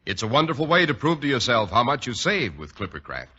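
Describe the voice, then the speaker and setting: Bright, animated 1940s radio announcer man. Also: medium pitch, fast talker, husky. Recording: dull lo-fi old radio recording.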